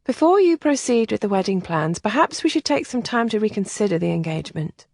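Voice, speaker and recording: A woman narrator voicing a composed, caring female companion who speaks gently and matter-of-factly. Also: medium pitch, sing-song, a little fast, gravelly, no room sound. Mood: soothing. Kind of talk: audiobook character voicing.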